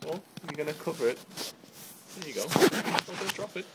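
A man's voice: on the high side, expressive, normal speed. A middle-aged man chatting casually in short, encouraging phrases to a small child, as his father. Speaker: father filming his young son. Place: outdoors on a patio. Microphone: camera held in hand. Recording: noisy handheld home video.

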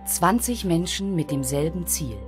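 An actress playing a solemn narrator, giving scripted narration for a radio drama. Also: female voice, fairly low pitch, expressive, unhurried. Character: solemn female narrator speaking for the group. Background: music.

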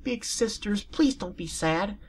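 Man voicing a small timid pony colt, high soft falsetto, slow, pleading. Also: animated, slight background noise. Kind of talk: reading fan fiction aloud.

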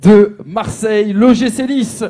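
A man presenting a cup draw on a studio set with a handheld mic, pausing with fillers. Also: sits high, warm tone.

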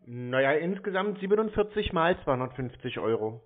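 A man chatting casually on a phone call in a deadpan, dryly sceptical way. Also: fairly high pitch, brisk. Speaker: scam-baiter taking the call. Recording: narrow-band phone call.

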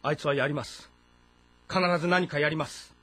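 Husky, suspicious young prince. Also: male voice, a little high, steady tone, normal speed, sharp tone. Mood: insistent. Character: suspicious, insistent young son of a warlord. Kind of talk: dramatic audio-novel game acting.

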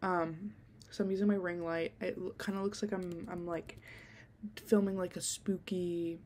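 A woman's voice: lowish voice, flat delivery, normal speed, darkish tone, slight background noise. A young woman chatting casually into a handheld mic in a dim bedroom, a little nasal from being sick, rambling with lots of ums and likes. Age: young adult. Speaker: podcast host. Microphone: handheld desk mic close to mouth.

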